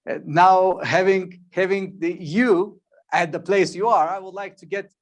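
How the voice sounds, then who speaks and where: A man's voice: high voice, measured pace. A man hosting a virtual interview, speaking hesitantly with many fillers. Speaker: interviewer, host.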